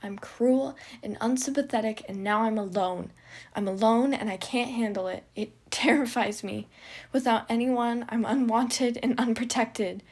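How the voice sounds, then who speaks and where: A woman voicing a frightened, self-loathing young man who pleads on the edge of tears, in a husky voice. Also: medium pitch, flat delivery, slow pace, darkish tone. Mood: anguished.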